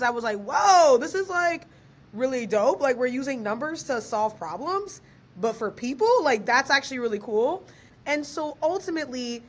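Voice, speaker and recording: A fairly high-voiced young woman talks with a podcast host. She is lively and slangy and laughs often. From Ghana, raised in Maryland. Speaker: guest, author and researcher. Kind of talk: casual podcast interview.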